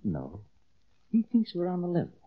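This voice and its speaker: An actor playing a cold, calculating crime boss in 1940s radio style, with an American accent and a measured, understated menace. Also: male voice, sits high, wildly swooping pitch, slightly rough, full character voice. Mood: quiet menace. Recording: tinny, muffled old radio recording.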